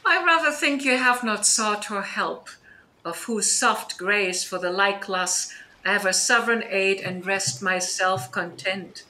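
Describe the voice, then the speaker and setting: A woman playing a dignified exiled duchess in a Shakespearean radio play, stern yet forgiving, with deliberate, commanding formality. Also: medium pitch, bright, forceful tone, rough recording. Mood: composed, solemn. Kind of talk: Shakespearean audio drama acting.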